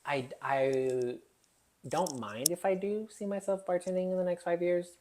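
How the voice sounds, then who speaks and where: A woman in her twenties with a Texas accent, chatting casually as a podcast guest about her bartending work, animated and laughing easily. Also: fairly low pitch, unhurried, slight background noise. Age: 20s. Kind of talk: casual chat.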